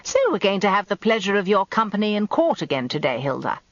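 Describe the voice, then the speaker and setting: A woman's voice: medium pitch, lively intonation, fast, no echo, dull audio, pitched above the performer's own voice, lightly acted. A woman playing a charming, flirtatious male solicitor in a radio drama, with an ingratiating manner. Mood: genial, probing.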